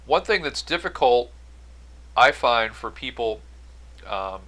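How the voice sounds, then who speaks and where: A man's voice: medium pitch, sing-song, slow pace, pushed and bright. A man giving an explanatory accounting-style lecture as an instructor, going step by step and stressing key phrases. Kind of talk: explanatory lecture over on-screen notes.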